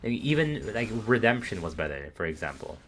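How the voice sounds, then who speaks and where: A man casually commentating a live esports match on his stream, relaxed and laughing often. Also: fairly low pitch, fairly quick, slight background noise.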